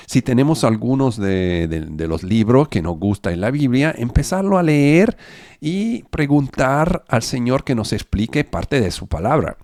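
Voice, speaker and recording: Halting preacher, non-native Spanish speaker. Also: male voice, mid-range voice, gravelly, a little mellow, dry recording, clean studio sound. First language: not Spanish. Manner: halting, with fillers and self-corrections. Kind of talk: online sermon.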